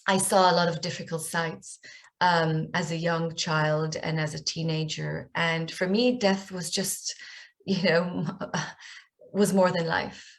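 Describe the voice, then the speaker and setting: A woman's voice: sits low, little pitch movement, slow. A woman in her forties from Israel, with an Israeli accent, giving a candid personal talk as a guest speaker over a video call.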